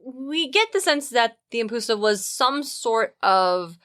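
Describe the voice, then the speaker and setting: A woman's voice: on the high side, expressive, measured pace, a bit bright, no room sound, studio quality. A woman co-hosting a podcast, chatting casually and informally about mythology as she thinks aloud. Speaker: podcast co-host.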